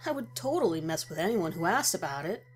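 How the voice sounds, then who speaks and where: A woman's voice: fairly low pitch, big pitch swings, quick pace. Woman voicing casual, emphatic skeptical young guy. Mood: wry, casual. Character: young man, casual, skeptical road-trip companion.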